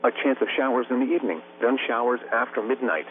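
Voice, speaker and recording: Computerized guy voice reading weather alerts, formal and measured, phone-like radio quality w/ a bit of hum. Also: medium pitch, lively intonation. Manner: formal, measured, synthetic delivery. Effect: synthetic computer voice. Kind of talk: scripted weather bulletin read by text-to-speech. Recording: noisy narrowband weather radio broadcast, humming. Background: radio hum and static.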